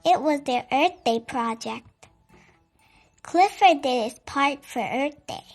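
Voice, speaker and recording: High voice reading a kids book out loud, slow n expressive, bit of music behind. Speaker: children's storybook reader. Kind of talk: read-aloud storytelling for children.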